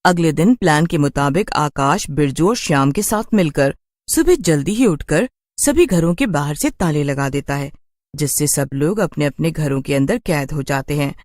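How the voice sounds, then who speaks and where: A man's voice: on the high side, expressive, moderate pace, dry recording, studio quality. A man narrating a scripted cartoon horror story as its storyteller.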